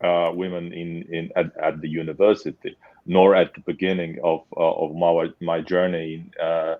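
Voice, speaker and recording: A man from Poland with a technical background, speaking as a webinar panel guest in a halting way, often repeating words. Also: sits low, little pitch movement, dry recording. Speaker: panel guest, tech company leader. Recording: dull, dry sound.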